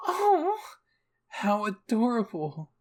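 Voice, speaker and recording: A man's voice: very high voice, huge pitch swings, slow pace, pitched above the performer's own voice, heavily acted. A man voicing a gleeful, eccentric woman commander and scientist in an anime fan fiction reading, gushing and eager.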